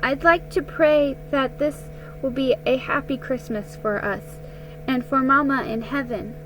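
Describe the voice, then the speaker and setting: A woman voicing an earnest, polite young girl with a small but steady voice in a storybook. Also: high voice, measured pace, slight background noise, pitched above the performer's own voice, poor audio.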